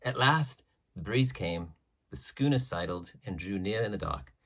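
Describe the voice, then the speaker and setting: A man's voice: fairly low pitch, lively intonation, measured pace, a bit bright. A man reading a classic adventure story aloud as scripted literary narration. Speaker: audiobook reader.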